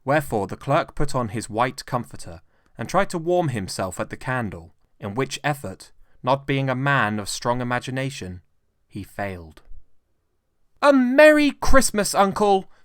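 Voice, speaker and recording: A man's voice: medium pitch, expressive, moderate pace, fairly bright tone, dry recording, studio quality. A man with a British accent giving a theatrical, carefully phrased dramatic narration of classic fiction. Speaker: narrator, actor.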